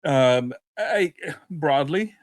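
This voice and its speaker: A man co-hosting a podcast in casual banter, with a sardonic, deadpan manner. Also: fairly high pitch, very slow, dry recording. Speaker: podcast co-host.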